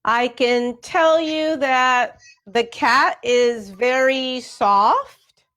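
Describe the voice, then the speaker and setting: A woman's voice: high-pitched, extremely slow, sharp tone. A woman hosting a radio interview show.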